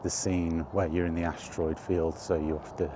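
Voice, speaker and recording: A middle-aged man chatting casually and reminiscing in a halting, thinking-aloud way, in short rambling phrases. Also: low-pitched, flat delivery, background noise. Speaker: video creator and host. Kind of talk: casual reminiscing monologue about retro games. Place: outdoors while walking.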